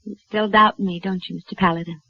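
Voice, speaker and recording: An actress playing a steady, determined frontier doctor in a 1950s western radio drama, speaking firmly and directly. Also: female voice, medium pitch, fast, creaky. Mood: calm, firm. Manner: firm, composed, direct. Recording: dull, noisy old radio recording.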